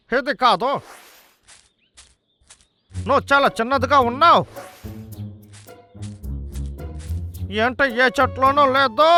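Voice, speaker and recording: Boastful, excitable cartoon logger; high-pitched, sharp, talks to himself, music behind. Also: male voice, exaggerated voice. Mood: grumbling, confused. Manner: exaggerated, talks to himself, chuckling. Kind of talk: cartoon dub acting.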